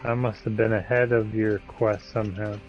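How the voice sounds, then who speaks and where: A man's voice: lowish voice, little pitch movement, moderate pace. A man co-playing on a game stream, chatting casually in a laid-back, hesitant way. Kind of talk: casual gaming chat. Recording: dull lo-fi voice chat, faint music.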